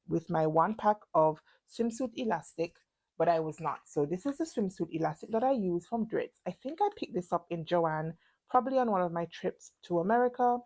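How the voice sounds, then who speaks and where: A woman's voice: on the low side, a little mellow. A woman talking casually through her sewing project in a chatty, self-deprecating vlog. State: stuffy and congested. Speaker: sewing vlogger.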